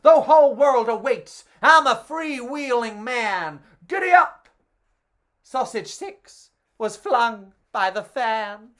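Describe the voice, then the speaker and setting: A theatrical, expressive storyteller reading to children in an animated storytime style, woman's voice by pitch. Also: takes it slowly.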